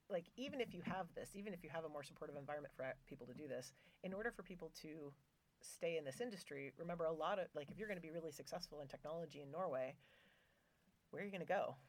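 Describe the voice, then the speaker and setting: A woman's voice: low-pitched, fast talker. A woman guest on a podcast, telling stories in a chatty way with lots of fillers and wry asides. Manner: chatty storytelling, many fillers, wry humor. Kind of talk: conversational podcast interview. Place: Norway.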